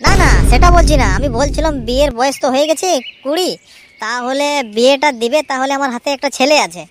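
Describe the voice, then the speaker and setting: Nosy, hapless village official acted by a man, high cartoon voice, hesitant muttering, rural West Bengal accent, noisy audio. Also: quick pace. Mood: coaxing. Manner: hesitant, meddling, muttering crude asides. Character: bumbling, nosy male village census surveyor. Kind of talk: comic cartoon voice acting.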